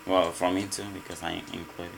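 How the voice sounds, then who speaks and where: A man with a Mexican Spanish accent, Spanish his first language, answering interview questions in halting English and often repeating words. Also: sits low, level tone, creaky, noisy background. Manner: halting, repeats words, non-native grammar. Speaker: restaurant cook. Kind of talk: casual interview.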